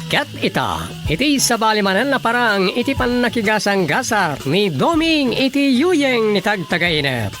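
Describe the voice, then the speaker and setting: Radio drama announcer, Ilocano accent, highly animated intonation, strong music bed underneath. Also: normal speed. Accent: Filipino Ilocano. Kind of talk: dramatic radio programme introduction. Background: prominent music.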